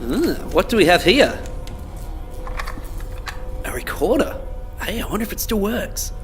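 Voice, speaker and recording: A man's voice: high voice, sing-song, unhurried, soft background music. A man playing a cautious but teasing young survivor in an audio drama, speaking casually and protectively. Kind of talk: conversational audio drama acting.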